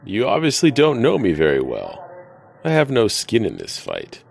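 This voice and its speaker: A man plays a self-serving drifter in an audio drama. His gravelly, fairly low voice swings widely in pitch, and his delivery is sardonic and laid-back. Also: wildly swooping pitch, moderate pace, exaggerated voice.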